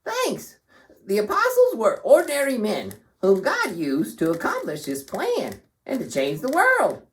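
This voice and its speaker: A woman voicing an excitable, jokey young boy puppet in playful, exclamatory children's puppet show banter. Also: a little high, a little slow, voice pushed up. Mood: earnest, upbeat.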